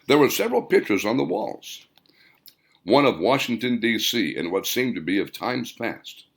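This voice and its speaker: A man reading his own fiction aloud as scripted audiobook narration, in a deliberate manner. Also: on the low side, creaky.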